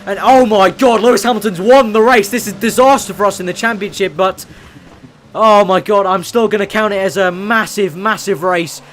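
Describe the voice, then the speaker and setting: A hoarse man, losing his voice as he gives fast, excitable commentary on his own racing game play. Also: sits high, a little hiss or hum. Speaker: gaming YouTuber.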